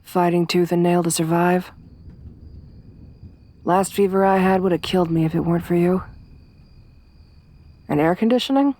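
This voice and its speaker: A young woman voicing a tough, tomboyish survivor companion in an ASMR roleplay, speaking softly with a wry, weary warmth. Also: lowish voice, moderate pace, long pauses, lightly acted. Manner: soft-spoken, wry, quietly reflective.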